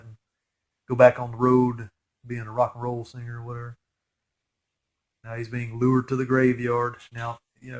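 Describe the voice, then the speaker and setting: Nasal, stuffy-voiced man rambling over a film. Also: medium pitch. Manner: rambling, chatty, geeky enthusiasm. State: nasal from a head cold. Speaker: fan film commentator. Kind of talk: casual movie commentary.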